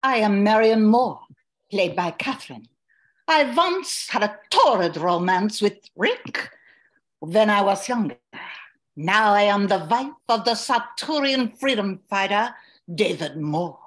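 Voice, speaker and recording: Woman playing a mid-pitched noir old flame. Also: lively intonation, a little slow, lightly acted. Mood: wistful. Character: wistful married woman, noir former lover. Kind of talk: amateur old-time radio play acting.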